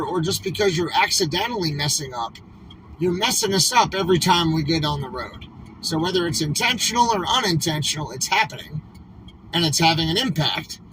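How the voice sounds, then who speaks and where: A man's voice: high-pitched, pushed and bright. A man livestreaming from a moving vehicle in casual talk, rambling and emphatic with lots of "like" fillers. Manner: rambling, emphatic, heavy use of "like". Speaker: convoy livestreamer. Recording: distant mic, clear road noise.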